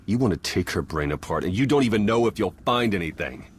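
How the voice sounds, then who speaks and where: Low-voiced, wry secret agent. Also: male voice, animated, quick pace, a little hiss or hum. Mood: protective, indignant. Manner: clipped, casual, wry. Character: down-to-earth male secret agent, wry, protective. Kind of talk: video game cutscene acting.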